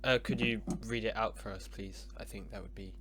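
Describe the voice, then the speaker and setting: A man's voice: on the low side, fairly even intonation, fairly quick, fairly bright tone. A man co-hosting a comedy podcast, talking in a hesitant, mumbling way full of fillers. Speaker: podcast co-host. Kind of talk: casual comedy chat.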